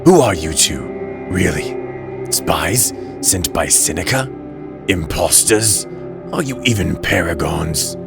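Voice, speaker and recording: A self-important, portly guard lieutenant acted by a man in an audio drama, mocking and indignant. The voice is gravelly and very low, with loud music playing beneath it. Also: expressive, slow pace, heavily acted. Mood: mocking suspicion. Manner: pompous, mocking.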